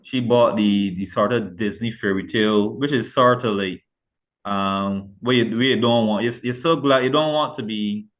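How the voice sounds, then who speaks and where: A middle-aged man from Barbados speaks with a Barbadian accent in a somewhat low voice. He talks earnestly and loosely, circling back and correcting himself as he answers interview questions about his writing. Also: normal speed, muffled recording. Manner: rambling, self-correcting, earnest. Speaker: author guest. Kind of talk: casual interview.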